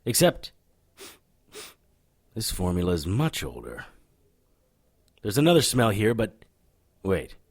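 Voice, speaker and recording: A man's voice: lowish voice, huge pitch swings, takes it slowly, long pauses, breathy edge, no room sound, studio quality, heavily acted. A man playing a cocky, sardonic occult detective in a noir-flavored audio drama, showy and needling. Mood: sardonic, probing.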